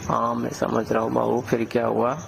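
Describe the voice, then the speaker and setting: Man playing a gravelly, coaxing young lover. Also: mid-range voice, monotone, normal speed, background noise. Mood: coaxing, curious. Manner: coaxing, probing. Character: coaxing, suspicious male lover probing his sweetheart. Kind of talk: intimate phone-call dialogue in audio drama.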